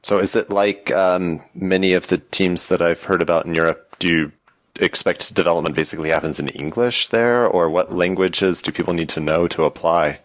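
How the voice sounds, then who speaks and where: A man's voice: low-pitched, normal speed, a bit bright. A man co-hosting a podcast, asking curious follow-up questions in a casual interview. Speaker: podcast co-host.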